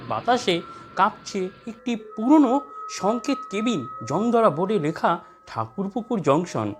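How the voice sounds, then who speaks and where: A narrator tells a scripted ghost story in a mid-pitched voice. The delivery moves up and down in an animated way, with soft music underneath.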